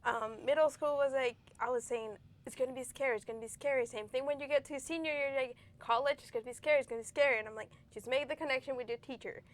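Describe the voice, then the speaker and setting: A woman's voice: high voice, steady tone, fast, few pauses. Young woman. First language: Spanish. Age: young adult. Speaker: guest, former student. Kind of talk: interview.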